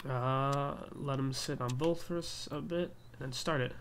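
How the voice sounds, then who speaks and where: A man's voice: mid-range voice, steady tone, moderate pace, a bit bright, slight background noise. A man giving a casual flight simulator tutorial, explaining each step in an offhand, hesitant way. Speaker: gaming tutorial host.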